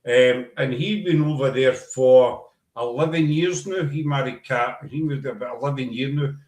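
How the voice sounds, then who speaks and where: A man's voice: medium pitch, moderate pace, a little mellow. Chatty Glaswegian man in an echoey room. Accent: Glaswegian Scottish. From Scotland. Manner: chatty, rambling anecdotes with many fillers. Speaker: podcast host. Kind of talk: casual chat, storytelling.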